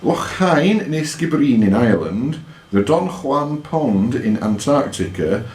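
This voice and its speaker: Lively male quiz host, echoey room. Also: medium pitch, a little slow. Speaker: quiz host reading questions. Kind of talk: live pub-style quiz hosting with banter.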